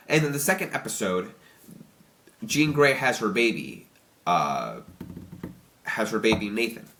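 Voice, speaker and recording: A young man with a stuffy, slightly nasal voice from allergies, rambling excitedly through a casual review with many restarts. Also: medium pitch. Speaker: YouTube reviewer.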